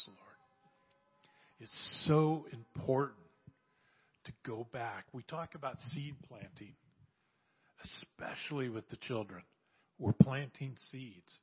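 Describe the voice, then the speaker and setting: A man in his sixties giving an animated church testimony, exhorting and repeating phrases for emphasis. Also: medium pitch, husky, dull audio. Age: 60s. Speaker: church speaker sharing mission testimony. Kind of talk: sermon testimony.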